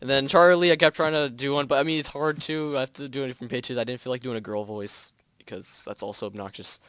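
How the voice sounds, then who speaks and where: Regular mid-pitch guy joking around, offhand casual chat. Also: fairly even intonation, fast talker, fairly bright tone. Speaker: co-host of a fan fiction reading channel.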